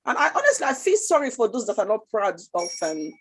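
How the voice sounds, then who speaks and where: A woman's voice: fairly high pitch, big pitch swings, fast, slightly rough, low-quality recording. A Nigerian woman speaking with a Nigerian accent in a casual talk show, passionate and emphatic, often repeating herself. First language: Nigerian language.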